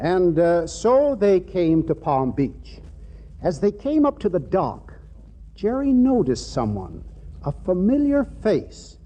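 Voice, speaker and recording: Hesitant high-voiced radio host. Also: male voice, wildly swooping pitch, slow pace, warm tone, soft background music, exaggerated voice. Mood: hesitant, genial. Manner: hesitant, stammering, polite. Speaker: host and narrator. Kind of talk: 1940s radio comedy acting. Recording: dull, hissy old radio broadcast.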